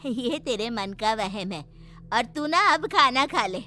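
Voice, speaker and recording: A woman's voice: fairly high pitch, animated, slow, bright, forceful tone, a little hiss or hum, heavily acted. A woman playing a stern, protective old village grandmother in a cartoon story, firm and admonishing. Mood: concerned.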